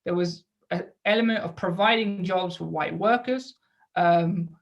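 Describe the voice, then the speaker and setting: A woman's voice: on the low side, unhurried, highs cut off. A woman lecturing to an audience in an explanatory way, posing rhetorical questions and adding short check-in tags as she goes.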